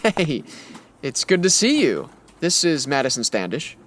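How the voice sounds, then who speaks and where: An actor playing a wry, easily exasperated 1940s newspaper photographer in a radio comedy. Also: male voice, fairly high pitch, huge pitch swings, unhurried, fairly bright tone, a little hiss or hum, heavily acted. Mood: friendly, concerned. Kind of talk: old-time radio drama acting.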